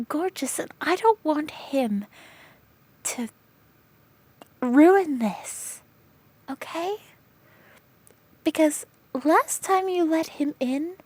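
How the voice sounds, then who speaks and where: A woman playing a protective young female friend in an audio roleplay, scolding in exasperation and then whispering soft reassurance. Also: high voice, heavily acted. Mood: anxious, pleading. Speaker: comforting friend.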